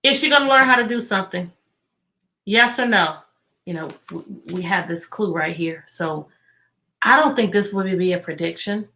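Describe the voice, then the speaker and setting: Lady teacher doing a kids read aloud, bright forceful voice, expressive, keeps asking questions. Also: medium pitch, moderate pace. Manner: asks listeners repeated questions. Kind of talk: interactive children's read-aloud lesson.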